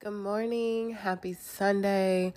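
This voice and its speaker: A woman's voice: medium pitch, steady tone, takes it slowly, darkish tone. A young woman hosting a casual solo podcast, sounding congested and nasal from a cold, chatting candidly and swearing freely. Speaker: podcast host.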